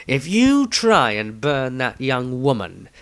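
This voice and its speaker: A man voicing a stern, protective male fighter, menacing and deliberate, in a fantasy storybook. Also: medium pitch, sing-song. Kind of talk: storybook character acting.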